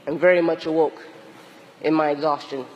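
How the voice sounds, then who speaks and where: Hoarse woman priest in an echoing hall. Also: low voice, fairly even intonation, darkish tone, muffled recording. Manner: slow, deliberate, with long pauses. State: hoarse, losing her voice from a cold; exhausted. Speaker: Episcopal priest. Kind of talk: reflective sermon.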